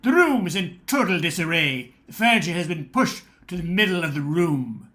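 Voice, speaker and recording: Male narrator, high pitch, bright forceful tone, dramatic scripted storytelling, radio play. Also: lively intonation, normal speed.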